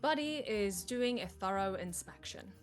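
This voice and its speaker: A woman's voice: medium pitch, animated, a little slow, soft background music. A woman reading stage directions as the narrator of a live comedy table read.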